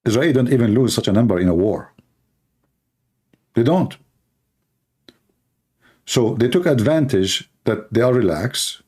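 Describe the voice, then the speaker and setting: A man's voice: medium pitch, expressive, unhurried, long pauses, darkish tone, breathy edge. A man from the Middle East, a native Arabic speaker with an Arabic accent, talking informally and bluntly as he hosts a live stream. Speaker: live stream host, religious apologist.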